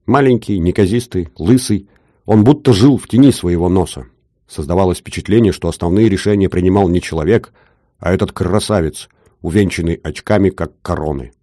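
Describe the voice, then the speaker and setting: A man reading a first-person story aloud in a measured, wry storytelling manner. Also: sits low, warm tone, dry recording, clean studio sound. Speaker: audiobook narrator. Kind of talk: first-person audiobook narration.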